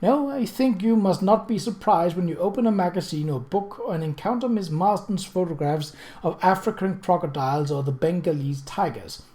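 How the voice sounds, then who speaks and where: A reader voicing a composed, perceptive upper-class lady, measured, formal and gently admonishing. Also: mid-range voice, pitched above the performer's own voice, natural voice. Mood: sweetly assured.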